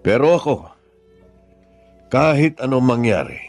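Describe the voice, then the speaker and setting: An actor playing a remorseful but firm middle-aged father in a Filipino radio drama, speaking solemnly and deliberately. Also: mid-range voice, animated, a little mellow, faint music behind.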